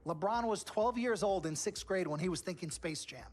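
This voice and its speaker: An actor playing a pushy, money-hungry male sports agent, slick and emphatic, with a husky voice, in a video game cutscene. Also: high-pitched, moderate pace, a little mellow, faint music behind.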